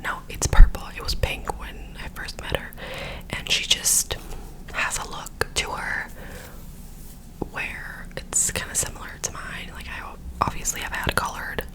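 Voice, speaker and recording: A woman's voice: measured pace, low-quality recording. A young woman whispering a rambling story into a close microphone while doing her makeup, often correcting herself as she goes. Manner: rambling, self-correcting, filler-heavy storytelling. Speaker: ASMR creator.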